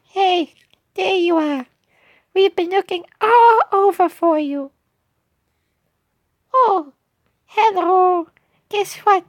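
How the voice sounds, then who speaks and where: A little cartoon cookie child with an extremely high, sweet voice. The delivery is slow, gushing and earnest, as in a storybook game tale, and sounds close and dry. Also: female voice, no room sound, full character voice. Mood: cheerful, friendly. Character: sweet excitable young cookie child, poor and lonely.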